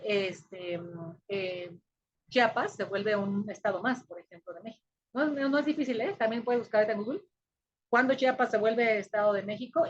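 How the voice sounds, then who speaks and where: A woman's voice: a little high, slight background noise. A woman with a Mexican accent teaching a recorded lesson. She explains step by step, with many fillers and frequent check-ins with the listener. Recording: dull lo-fi recording.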